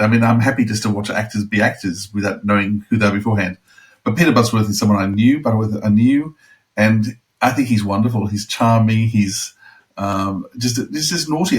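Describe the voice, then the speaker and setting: A man chatting casually as a podcast co-host, thinking aloud in a halting way. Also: lowish voice. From outside the UK.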